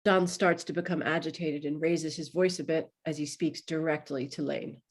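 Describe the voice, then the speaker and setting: A woman's voice: deep voice, steady tone, normal speed. A woman giving scripted narration, reading stage directions for a table read.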